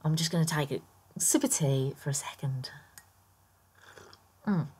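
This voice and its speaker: A woman with a croaky morning voice, chatting through her game build in a rambling, casual voiceover full of ums and small laughs. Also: low voice, measured pace, a little mellow. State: croaky, just woken up. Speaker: Sims builder YouTuber.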